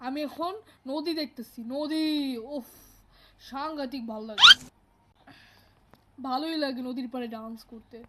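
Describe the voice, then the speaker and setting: A performer voicing an excitable young cartoon character in Bengali with a squeaky, artificially high voice and a Bangladeshi accent. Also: unhurried, pauses often, very noisy. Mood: cheerful. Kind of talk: amateur cartoon dubbing in colloquial Bengali.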